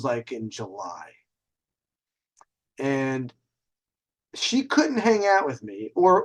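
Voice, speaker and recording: A man hosting a show with a casual storytelling monologue, delivered in a swaggering, showman-like way. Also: a little high. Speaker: host.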